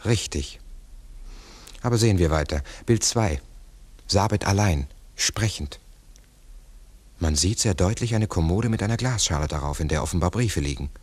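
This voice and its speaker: Deep, husky, pensive earnest investigator. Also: male voice, expressive, darkish tone. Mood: matter-of-fact. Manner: deliberate, pensive, explanatory. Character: earnest, philosophizing male investigator of a mystery. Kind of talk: 1960s German radio play acting. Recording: 1960s mono radio recording.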